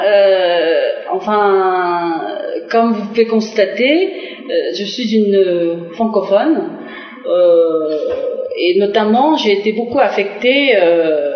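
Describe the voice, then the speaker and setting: A middle-aged woman from Korea speaks, answering a TV interviewer as a diplomat. She pauses often, fills gaps with "euh" and restarts her phrases. The sound is roomy and dull, like an old lo-fi broadcast. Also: medium pitch, animated. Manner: hesitant, many fillers and restarts.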